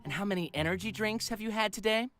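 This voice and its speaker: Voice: medium pitch, fast talker, over music, lightly acted. An actor voicing a wry, mocking young friend in a visual novel, with a dry, teasing manner.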